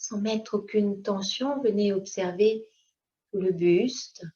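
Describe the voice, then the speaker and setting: Woman guiding meditation, dull lo-fi recording. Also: mid-range voice. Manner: calm, unhurried, gently instructing in short phrases. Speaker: yoga teacher. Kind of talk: slow guided yoga nidra meditation. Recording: dull, lo-fi sound.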